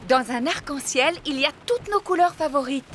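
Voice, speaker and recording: A calm, caring mother pig. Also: high-pitched, normal speed, noisy background. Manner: calm, reassuring. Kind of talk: cartoon dubbing.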